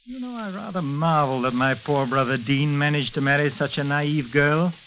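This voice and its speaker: Suave, gloating radio villain, hissy. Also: male voice, on the high side, moderate pace, fairly bright tone. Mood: mocking. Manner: suave, mocking, condescending. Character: smooth, gloating murderous villain, condescending to his victim. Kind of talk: 1940s radio thriller acting. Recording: muffled, hissy 1940s radio recording.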